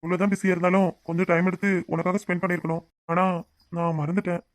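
Guy acting young husband, talks fast, teasing but soft on his wife, coaxing, dry close sound, family drama. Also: high voice, flat delivery, no echo, close to an everyday voice. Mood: soothing. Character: affectionate, teasing young husband, soothing and apologetic. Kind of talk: domestic serial drama acting.

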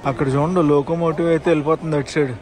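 A man's voice: a little high, flat delivery, rapid-fire. A man vlogging a train journey at night, chatting to viewers as stations pass. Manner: chatty, addresses viewers directly. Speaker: travel vlogger. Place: inside a moving train at night. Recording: noisy train carriage recording. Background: train rattle and station noise.

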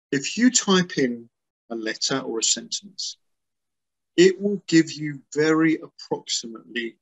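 An older man from England talks over a laptop webcam from a small room at home. His voice is a touch husky and mid-pitched. He explains with animated emphasis, often repeating his words for stress. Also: rough recording. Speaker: author and guest. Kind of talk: video call interview. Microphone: laptop webcam on a video call.

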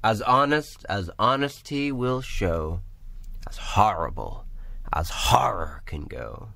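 A man from Northern Ireland performing spoken word poetry in a measured, rhythmic recitation. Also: fairly low pitch, expressive, gravelly, pushed and bright, no room sound. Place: small room or pub.

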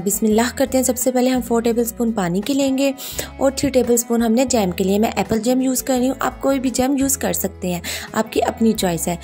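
A woman hosting a home cooking video, guiding each step in a steady voice-over and often repeating "ye dekhiye ji". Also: fairly high pitch. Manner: warm, reassuring, repeats "ye dekhiye ji" and "ji janab". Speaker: cooking channel host.

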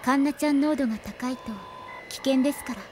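Woman doing a polite blunt anime teacher lady, high voice, music behind. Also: brisk, lightly acted. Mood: earnest. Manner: polite, matter-of-fact. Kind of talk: anime audio drama acting.

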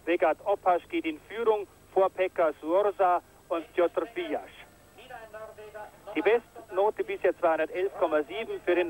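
A man giving live commentary on a ski jumping event, getting excited on big jumps, from an old broadcast tape. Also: high voice, rough recording. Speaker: sports commentator.

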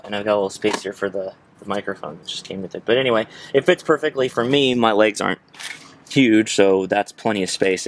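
A man's voice: on the low side, a little hiss or hum. A young American man giving a casual, informal how-to walkthrough, explaining as he goes. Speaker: how-to presenter. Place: in and beside a parked car outdoors.

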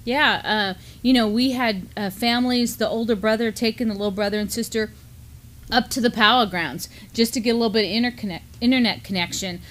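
A woman's voice: on the high side, fairly even intonation, brisk, a bit bright. A middle-aged woman co-hosting a news discussion, speaking in an earnest, conversational way. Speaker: co-host and educator. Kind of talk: casual news commentary. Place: indoor studio desk, backdrop.

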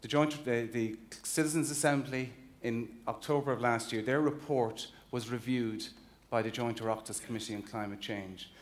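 A man's voice: medium pitch, steady tone, normal speed. Irish man, fifties, earnest keynote speaker, emphatic, restarts phrases, convention hall stage. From Ireland. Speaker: chief executive giving a keynote. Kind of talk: conference keynote speech. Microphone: stage mic, walking the stage with a slide clicker.

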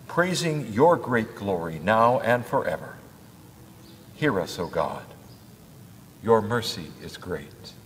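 An older man, a pastor, leading prayers in a church in a slow, measured liturgical cadence. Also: mid-range voice, a little hiss or hum. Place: church sanctuary at a lectern. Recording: church hall with long reverb.

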